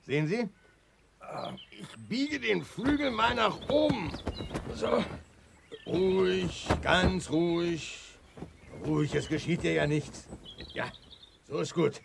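A man's voice: high voice, expressive, takes it slowly, creaky, long pauses, background noise, full character voice. A man playing a confident, plain-spoken sheriff in a children's sci-fi radio play.

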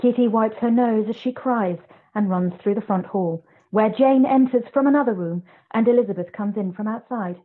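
A woman's voice: a little high, normal speed. A woman narrating scripted stage directions for a live-read drama. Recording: dull video call, faint noise.

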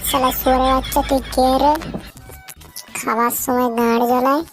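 Man doing a high-pitched, whiny cartoon slacker guy. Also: flat delivery, fairly quick, loud music behind the voice. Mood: greedy, brash. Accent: West Bengal Bengali. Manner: brash, foul-mouthed, whiny. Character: crude, greedy, quarrelsome young male cartoon slacker. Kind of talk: amateur comedy cartoon dialogue.

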